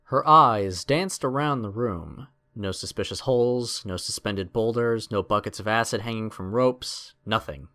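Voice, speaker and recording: A man's voice: on the low side, a little slow, hardly any pauses, bright, forceful tone. A man narrating a scripted adventure story in a dramatic storytelling style.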